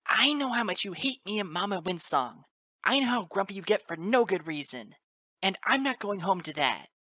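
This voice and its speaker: A little unicorn colt in a fantasy audiobook, played by a woman, pitched well above the narration. The boy is tearful yet defiant, stammering and whimpering with a tense, bright edge. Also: very animated, moderate pace, no room sound. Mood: tearful defiance. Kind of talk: dramatic audiobook character acting.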